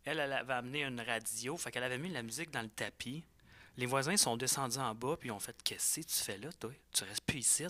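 Bright-voiced Quebec man chatting casually. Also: mid-range voice, quick pace, no echo. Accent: Quebec French. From Quebec, Canada. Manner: animated anecdote telling, slangy and full of swearing. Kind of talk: casual chat and storytelling.

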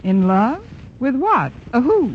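An actress playing a dry, sarcastic English teacher who also narrates, in a 1940s radio comedy on a lo-fi broadcast. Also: female voice, high-pitched, very animated, slow pace, full character voice. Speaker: narrator and lead. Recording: lo-fi old radio broadcast with faint hiss.

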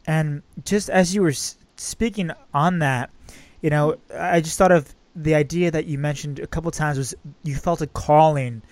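A man's voice: fairly high pitch, fairly even intonation, normal speed, darkish tone, no room sound. A man hosting a podcast, reading a polished, upbeat intro and asking interview questions. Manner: upbeat, polished announcer delivery. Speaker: podcast host.

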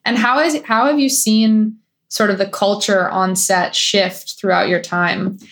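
A woman interviewer with a mid-range voice puts friendly questions to her guest. She asks them quickly and in a light way. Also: mid-range voice, fairly even intonation, a bit bright.

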